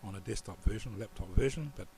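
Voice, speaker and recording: New Zealand man with a low voice. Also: fast, a little hiss or hum. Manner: relaxed, rambling, with restarts. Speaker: tutorial presenter. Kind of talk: casual screen-recorded tutorial.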